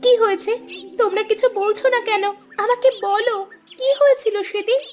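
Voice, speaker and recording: A woman's voice: extremely high pitch, fairly even intonation, moderate pace, soft background music. A woman voicing a pleading, insistent little daughter in a cartoon, childlike and whiny. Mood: anxious. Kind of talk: cartoon voice acting.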